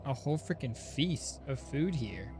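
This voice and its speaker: A male game streamer reacting live in an informal, joking way. His voice is very animated and excitable in its delivery. Faint game music plays behind him. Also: medium pitch, unhurried, gravelly. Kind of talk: casual live reaction commentary.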